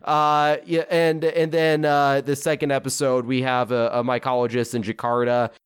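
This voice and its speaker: A man's voice: mid-range voice, flat delivery, moderate pace, clean tone. A man with a stuffy nose from a cold hosts a casual review chat, rambling and repeating words as he goes. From United States. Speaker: podcast host.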